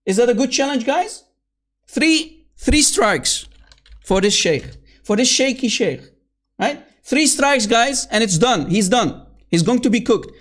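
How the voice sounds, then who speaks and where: Apologist host, man with a high voice, combative and scornful, plays to his online viewers from his computer. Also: expressive, unhurried, a bit bright. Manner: heated, scornful, calls opponents names; repeatedly addresses viewers as guys and brother. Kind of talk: combative online debate commentary.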